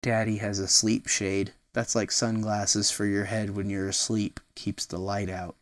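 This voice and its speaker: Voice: low-pitched, level tone, measured pace. A storyteller reading a picture book aloud expressively, with casual asides that explain things simply, as to a small child.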